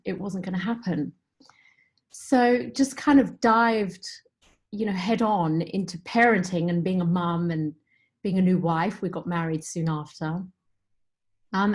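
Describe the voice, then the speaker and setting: A middle-aged woman, raised in Zimbabwe, is the guest on a remote interview call. She tells her story in a reflective, unhurried way and fills it with "you know." Her voice sits at an ordinary middle pitch. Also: darkish tone. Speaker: guest musician. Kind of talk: conversational interview.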